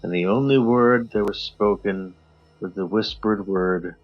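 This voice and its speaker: A man co-hosting a podcast, giving a theatrical poetry reading that swings between loud highs and hushed drops. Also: measured pace. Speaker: podcast co-host. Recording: slightly muffled with faint music.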